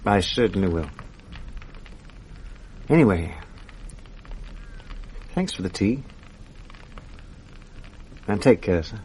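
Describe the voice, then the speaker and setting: A man's voice: deep voice, animated, slow, long pauses, a little hiss or hum, poor audio. An actor playing a polite, reserved young Englishman visiting his old teacher in a 1980s radio drama. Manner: polite, reserved, quietly evasive.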